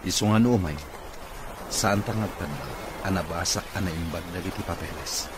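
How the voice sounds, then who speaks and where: An actor playing a stern, suspicious boss in an Ilocano-accented radio drama. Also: male voice, low voice, normal speed, background noise, lightly acted. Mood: stern, determined. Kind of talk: Filipino radio comedy-drama acting.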